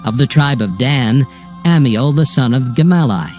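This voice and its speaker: Male narrator, scripted scripture reading, relaxed dark tone, measured, faint music behind. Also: medium pitch. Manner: measured, solemn.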